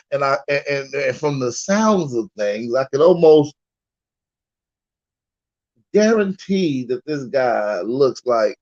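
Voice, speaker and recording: A man co-hosts a live call-in stream, throwing out crude, mocking trash talk at callers. His voice has an average pitch. The audio is muffled and lo-fi. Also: a little mellow. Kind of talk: casual streaming banter.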